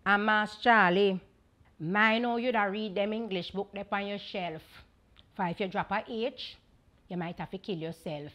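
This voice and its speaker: A woman's voice: medium pitch, steady tone, normal speed, a bit bright, muffled recording, lightly acted. A woman performing an indignant, witty Jamaican dialect defender in a dramatic poem recitation, emphatic and mocking.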